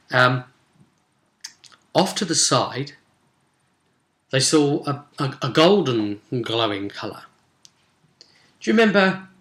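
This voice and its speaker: Guy game master chatting thru his tabletop session recap, storytelling style, bright punchy voice, echoey room. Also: mid-range voice. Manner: chatty, storytelling, acting out brief bits of dialogue. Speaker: game master and vidcast host. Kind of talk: informal recap of a tabletop role-playing session. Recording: roomy, echoing sound.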